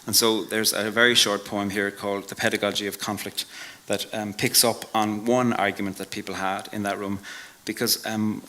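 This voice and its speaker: Soft-spoken Irish man, low and bright. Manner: measured and reflective, drops to a hush at line ends. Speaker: poet giving a talk. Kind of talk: live talk with poetry readings. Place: live venue before an audience.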